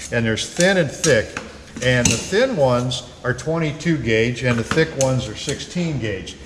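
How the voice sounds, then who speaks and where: A middle-aged man explains welder setup in a relaxed, down-to-earth way, filmed by a camera set back in a garage workshop. His voice rings off the hard walls with a lingering echo, and a faint hum of the shop sits underneath. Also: medium pitch. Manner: plain, practical, folksy explaining. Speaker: auto body instructor. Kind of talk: hands-on welding demonstration. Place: garage workshop with red tool chests. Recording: roomy, echoey workshop.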